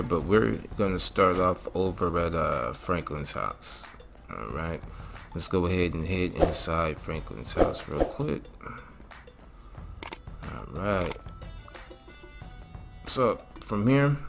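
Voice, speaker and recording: A man's voice: low-pitched, vocal fry. A man narrating a casual step-by-step game tutorial, instructing and often checking in with the listener. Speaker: host of a game mod tutorial.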